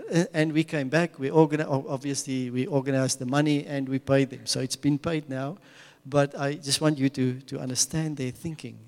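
Afrikaans-accented man speaking in a reverberant hall. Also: mid-range voice. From South Africa. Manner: earnest, repeats words and restarts, chuckles often. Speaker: mission speaker. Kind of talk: church mission presentation with slides.

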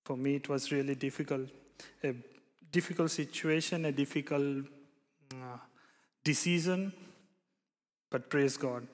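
A young man from India preaching a sermon and praying, speaking haltingly with many fillers and restarts. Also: medium pitch, steady tone. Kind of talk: sermon with prayer. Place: church hall. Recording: long hall reverb.

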